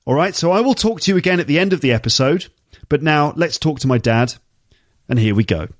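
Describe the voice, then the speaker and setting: A man with a slight cold, teaching vocabulary on his podcast in a chatty way, repeating key words to make them clear. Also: fairly high pitch, lively intonation, a little fast. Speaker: English teacher and podcast host. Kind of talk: casual explanatory podcast monologue for learners.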